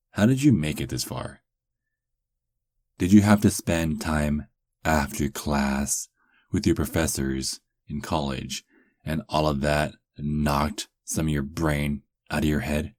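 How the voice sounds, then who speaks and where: A man playing a sarcastic, flirtatious captive werewolf in an ASMR roleplay, teasing with lingering pauses. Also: rumbling low, a little mellow, no room sound. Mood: sly, mocking.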